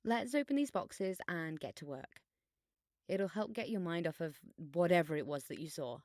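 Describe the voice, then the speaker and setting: A woman playing a bossy, sardonic, excitable schoolgirl in an audio drama, with a theatrical, sarcastic manner. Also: lowish voice, moderate pace, no room sound, studio quality, close to an everyday voice. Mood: wry, dismissive.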